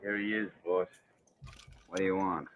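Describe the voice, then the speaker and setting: A man's voice: sits low, steady tone, normal speed, natural voice. A man acting a surly, wary gangster in vintage radio-drama style. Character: surly, wary gangster men, boss and henchman. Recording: muffled, hissy old radio recording.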